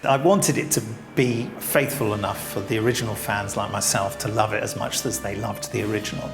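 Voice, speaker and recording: A man's voice: fairly low pitch, steady tone, fairly quick, gravelly, over music. A man, producer of the series, talking enthusiastically in an interview. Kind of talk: casual interview.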